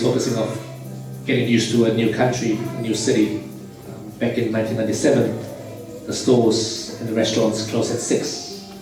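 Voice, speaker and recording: A man from Malaysia in his forties, a surgeon telling his life story to a church youth group in a relaxed, plain way with many "um"s. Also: mid-range voice. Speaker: surgeon giving a testimony. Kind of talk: personal testimony talk to youth. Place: church hall. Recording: very echoey hall, voice from far off, faint music.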